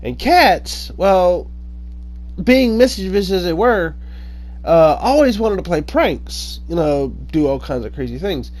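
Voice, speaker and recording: A man telling folk tales informally in a halting, hesitant way, often pausing and correcting himself. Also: sits high, big pitch swings, darkish tone. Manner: halting, hesitant delivery with frequent pauses and self-corrections. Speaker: online storyteller.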